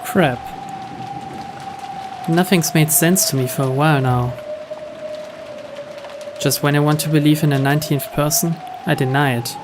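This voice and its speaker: Male narrator, slightly high voice, dramatic scripted reading, visual novel, clear rain noise behind. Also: steady tone, a little slow, pauses often. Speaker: narrator reading game text aloud.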